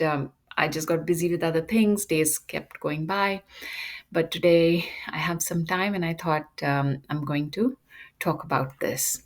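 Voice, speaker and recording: Woman, low voice, educator-style monologue, halting with ums. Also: a little mellow. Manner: measured, frequent ums and uhs. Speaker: educator presenting a topic. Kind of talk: unscripted lecture monologue.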